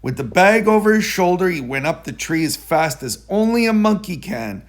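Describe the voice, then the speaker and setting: Lively man reading a children's storybook. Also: sits high, normal speed. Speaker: storybook reader. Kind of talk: expressive children's story read-aloud.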